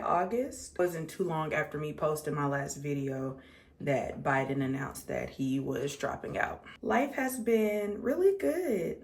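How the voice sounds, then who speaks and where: A 35-year-old American woman chatting casually and conversationally to her viewers as a makeup vlogger, repeating words for emphasis. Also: mid-range voice. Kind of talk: casual chat to viewers while doing makeup.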